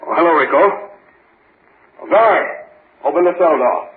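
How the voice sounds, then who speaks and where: A man playing a stern, paternal prison warden, measured and admonishing, in old-time radio style. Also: fairly high pitch, lively intonation. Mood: brisk, stern. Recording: muffled, hissy old radio recording.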